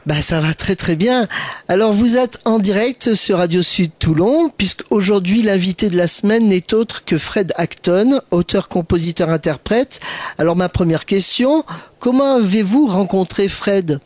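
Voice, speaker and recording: Radio host, male; high-pitched, dry studio mic, brisk interviewer manner. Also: darkish tone, dry recording.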